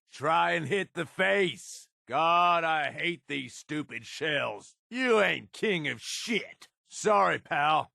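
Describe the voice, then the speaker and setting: A middle-aged game raider lieutenant, crude and scheming. His voice is gravelly and unhurried, rising sharply when he barks orders in a fight. The recording is dry and close, with no room sound. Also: fairly high pitch, bright, forceful tone, no echo, heavily acted. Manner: gravelly, crude and sardonic, tough-guy drawl. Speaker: companion character. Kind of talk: video game combat and companion barks.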